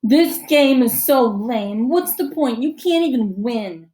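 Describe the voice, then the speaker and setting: A rude schoolboy sneers and scoffs at everything, as a woman reading a storybook puts him on. The voice sits a little high, pushed loud and mocking, with plenty of scorn. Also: lively intonation, takes it slowly. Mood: sneering.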